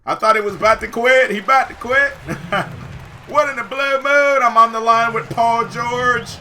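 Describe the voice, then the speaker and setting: A man giving excited, slangy live commentary over basketball video game play, shouting at big plays, his voice getting hoarse. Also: very high-pitched, normal speed, a little hiss or hum. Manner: slangy, says "yo" often. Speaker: gaming YouTuber.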